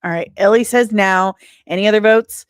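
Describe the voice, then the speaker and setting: A woman hosting a casual livestream, talking in an animated, rambling and self-deprecating way. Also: medium pitch, moderate pace, smooth, no room sound, clean studio sound. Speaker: livestream host.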